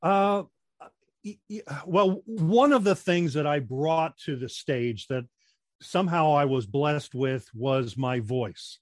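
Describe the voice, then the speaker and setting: A man with a voice that projects well, explaining training methods in a measured way and often restarting his sentences in a relaxed interview. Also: fairly high pitch, dry recording, clean studio sound. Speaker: guest, corporate trainer. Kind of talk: conversational interview.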